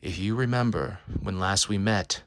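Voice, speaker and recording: A man voicing a calm, formal, gloating supervillain in a comic book read-aloud. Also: sits low, moderate pace, no echo, studio quality. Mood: menacing, gloating.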